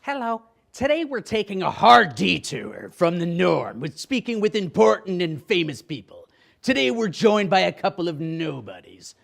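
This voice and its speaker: Pompous ditzy tv interviewer, squeaky high then creaky, rude n giggly, comedy parody. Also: male voice, very animated, normal speed, fairly bright tone, full character voice. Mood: pompous, teasing. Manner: pompous, erratic, blunt. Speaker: spoof interview show host.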